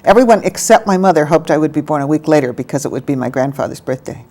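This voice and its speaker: Low-voiced thoughtful woman in her sixties. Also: expressive, dark tone. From Alabama, American South. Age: 60s. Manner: thoughtful, measured, pauses with fillers. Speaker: interviewee, lawyer. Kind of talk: oral history interview.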